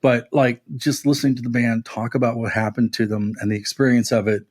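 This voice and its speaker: A man's voice: mid-range voice, little pitch movement, brisk. A man talking casually and at length as a music podcast panelist, with an enthusiastic, discursive manner.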